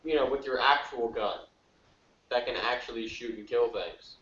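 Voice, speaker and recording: A man's voice: mid-range voice, moderate pace, a bit bright. Blunt man, distant in an echoey room. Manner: blunt, dismissive. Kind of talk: casual sci-fi TV review chat. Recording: roomy, distant, dull, noisy audio.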